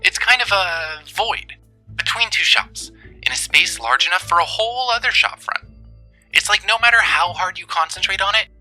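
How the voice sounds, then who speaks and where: A performer voicing an earnest, mystified storyteller in an audio drama. The voice is very bright and lively in pitch, confiding, with music underneath. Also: moderate pace, low-quality recording. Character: earnest, mystified listener telling an eerie story. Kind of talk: dramatic first-person storytelling.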